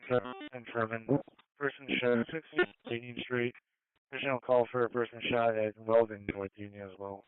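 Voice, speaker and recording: A man's voice: medium pitch, fairly even intonation, normal speed, phone-quality sound. A man giving terse police dispatch traffic over a two-way radio on a scanner feed.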